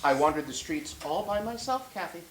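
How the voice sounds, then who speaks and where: Casting: male actor for stubborn, troubled childlike man in a stage play, very animated intonation, halting delivery, roomy sound. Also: a little high. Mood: pleading. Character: troubled mentally ill man, childlike, stubborn, pleading with his guardian. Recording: roomy echo with faint background noise.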